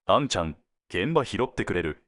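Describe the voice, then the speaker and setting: A man playing a world-weary senior detective in an audio drama, laconic and deliberate, given to dry teasing jokes. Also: sits low, very animated, heavy background noise. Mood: wry, businesslike. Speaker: police detective at headquarters.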